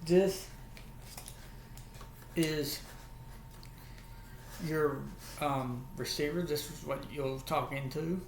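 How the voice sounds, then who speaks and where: A man's voice: on the high side, drawn-out words, pauses often. A man with a Southern US accent casually showing and explaining an item as a video host, in a folksy, repetitive way. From United States, Alabama. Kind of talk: casual vlog product show-and-tell. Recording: echoey room with faint background noise.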